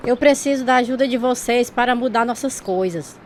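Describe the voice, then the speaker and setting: A woman's voice: on the high side, fairly quick, heavy background noise, natural voice. A woman playing a practical, firm mother in a radio drama, giving instructions. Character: practical, firm hard-pressed mother of a household.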